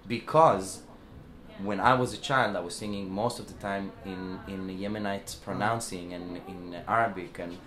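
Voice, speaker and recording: A man's voice: low voice, steady tone, moderate pace, a bit bright, echoey room, soft background music. A man with an Israeli accent, a singer talking in a casual interview, hesitant with many fillers and restarts. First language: Hebrew. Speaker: singer and band founder. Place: music club after a show.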